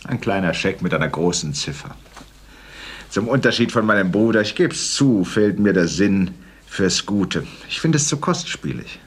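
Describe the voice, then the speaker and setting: A man's voice: deep voice, very animated, normal speed. An actor playing a cynical, suave and greedy blackmailer in a German radio play, savoring his words with sardonic relish. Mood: smug, cynical. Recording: faint noise on old radio recording.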